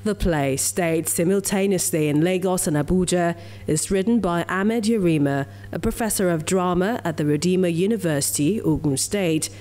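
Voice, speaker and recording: A woman's voice: lowish voice, darkish tone. A woman with a Nigerian accent reading a scripted news report as a reporter's voice-over.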